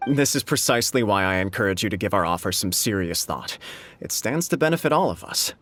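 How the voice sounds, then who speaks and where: An actor playing a courteous, earnest shogunate official in a video game, formal and deliberate in speech. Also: male voice, fairly low pitch, expressive, fairly bright tone, dry recording. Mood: persuasive, formal.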